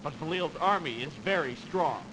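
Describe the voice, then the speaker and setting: A man's voice: a little high, lively intonation, normal speed, fairly bright tone. A man playing a disgruntled, idealistic young nobleman in a video game, speaking theatrically with haughty indignation. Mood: wary, resolved. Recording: noisy, reverberant early CD game audio.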